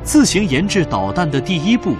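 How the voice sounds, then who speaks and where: A man's voice: a little high, sing-song, brisk. A man reading scripted dramatic narration for a historical radio drama. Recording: music behind the narration. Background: background music.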